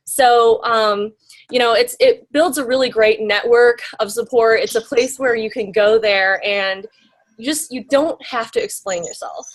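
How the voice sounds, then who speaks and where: A woman's voice: on the high side, fairly even intonation, a little slow. A young woman telling her story in an interview, chatty and full of fillers and rhetorical questions. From United States. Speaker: interviewee, graphic designer.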